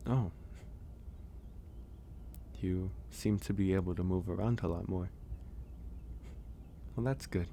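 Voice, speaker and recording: Werewolf caretaker, male actor: very low register, kind and unhurried with hesitant pauses, intimate ASMR roleplay over ambient noise. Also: creaky. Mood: gentle, caring. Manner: gentle, hesitant, reassuring, pausing often. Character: gentle male werewolf, caring stranger nursing a guest.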